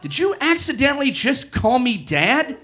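A man voicing a gruff, taunting, foul-mouthed best friend in a comic story. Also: extremely high pitch, big pitch swings, normal speed, sharp tone, a little hiss or hum, pitched above the performer's own voice, exaggerated voice. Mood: gruff mockery. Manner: brash, taunting, swearing.

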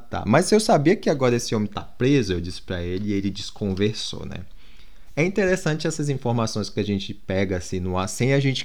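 A man's voice: fairly low pitch, darkish tone. A Brazilian man with a Brazilian Portuguese accent, talking casually to his viewers in a rambling monologue full of restarts and fillers. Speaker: vlogger.

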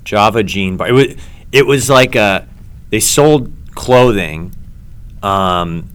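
Male comedy host, very low and smooth voice, halting storyteller. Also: expressive. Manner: rambling, halting with many ums and restarts. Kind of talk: casual podcast chat.

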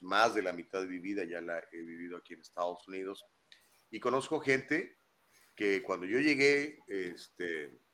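A man's voice: medium pitch, fairly bright tone. A man talking on a casual live news chat, stressing his points and repeating words for emphasis.

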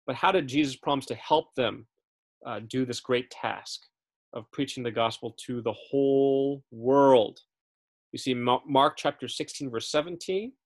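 A man teaching a Bible study in a casual, chatty way, often checking in with his listeners and correcting himself as he goes. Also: mid-range voice. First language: English. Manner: frequent fillers and self-corrections.

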